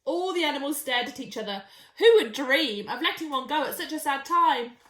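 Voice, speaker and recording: A woman's voice: high voice, expressive, normal speed, background noise. A woman reading a picture book to young children, expressively and with dramatic emphasis. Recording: echoey, roomy sound.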